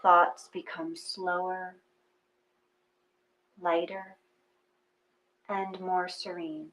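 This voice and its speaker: Slow, low-voiced woman guiding a meditation. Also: little pitch movement, fairly bright tone, poor audio. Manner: slow, soothing, pausing between short phrases. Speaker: meditation guide. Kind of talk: gentle guided meditation reading.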